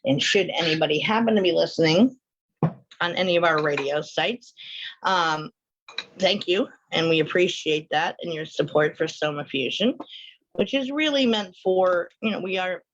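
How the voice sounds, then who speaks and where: A woman's voice: lowish voice, moderate pace, muffled recording. A woman hosting a live online show in casual, rambling, chatty talk, sounding sinusy and sniffly from allergies. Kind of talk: casual livestream chat with viewers.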